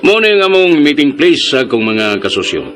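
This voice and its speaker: An actor in a radio drama playing a smug, pushy, jealous man who teases and scoffs in a theatrical, drawn-out way. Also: fairly high pitch, big pitch swings, noisy background. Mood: smug, scoffing.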